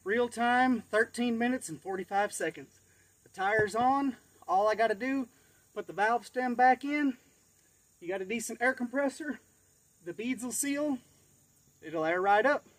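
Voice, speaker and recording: An American man with a notably high voice talks folks through a hands-on job in a casual, folksy, instructional way. He is working as he speaks, picked up by a camera a few feet away outdoors, with faint insect noise behind him. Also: unhurried, long pauses, fairly bright tone. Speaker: do-it-yourself demonstrator. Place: outdoors in a cluttered rural yard.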